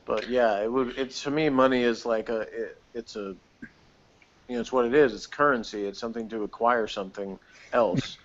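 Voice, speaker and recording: A man talking in a soft, gentle voice in a casual chat, hesitant and full of fillers. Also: mid-range voice, moderate pace. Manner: soft-spoken, sensitive tone; hesitant, many fillers and restarts. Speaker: guest being typed. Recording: dull lo-fi audio with faint noise.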